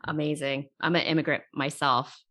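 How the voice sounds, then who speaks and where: A woman's voice: deep voice, fairly even intonation, moderate pace, fairly bright tone. A woman hosting an interview, upbeat and friendly as she introduces her guest and asks questions. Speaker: interview host. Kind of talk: hosted interview.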